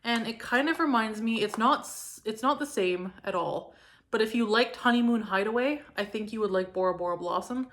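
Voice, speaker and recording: Chatty hoarse woman reviewing scents. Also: on the high side, normal speed, fairly bright tone. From Canada. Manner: chatty, gushing, full of likes and ums. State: slightly hoarse from a cold. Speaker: home fragrance reviewer. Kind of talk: casual product review vlog.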